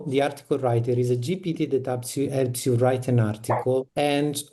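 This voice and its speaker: Guy on a video call, warm low-key tone, explains step by step, restarts a lot. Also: fairly even intonation, normal speed. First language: Italian. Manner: practical, explanatory, frequent restarts. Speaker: panelist on community toolkit. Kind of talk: online meeting talk.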